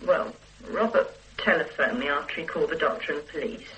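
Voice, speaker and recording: A woman's voice: low-pitched, fast, fairly bright tone, poor audio. An actress playing an indignant, defensive housewife in a radio play, protective of her husband as she is questioned. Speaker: witness being questioned. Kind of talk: radio drama acting.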